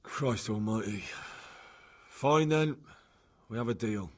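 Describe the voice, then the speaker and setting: An actor playing a sardonic, exasperated young medieval adventurer in a video game, sounding incredulous. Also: male voice, fairly low pitch, animated, takes it slowly. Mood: grudging, wry. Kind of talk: video game cutscene acting.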